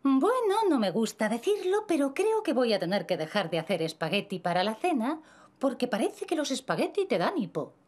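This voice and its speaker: Lively, gentle cartoon mum. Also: female voice, on the high side, brisk. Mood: gently teasing. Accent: Castilian Spanish. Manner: soothing, playful. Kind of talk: children's cartoon dubbing.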